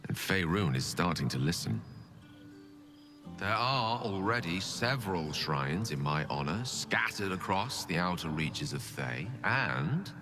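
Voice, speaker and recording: An actor playing a proud, newly ascended wizard god of ambition, pompous and eloquent, in a dramatic video game. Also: male voice, low voice, sing-song, measured pace, big-room sound, music in the background. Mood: smug, musing.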